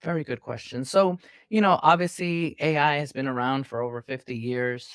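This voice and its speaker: A man's voice: mid-range voice, normal speed, fairly bright tone, clean tone, no room sound, studio quality. A man from New York City, a physician guest, explaining at length in an earnest, teacherly way over a video call. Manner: earnest, teacherly, long-winded. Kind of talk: explaining interview answers. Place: indoors.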